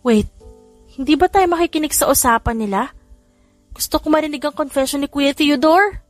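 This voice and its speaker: A woman's voice: high voice, normal speed, no room sound, soft background music, voice pushed up, close to an everyday voice. A woman voicing a teasing, sweet-talking younger sister in a romance audiobook. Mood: nosy whispering. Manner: wheedling, playful.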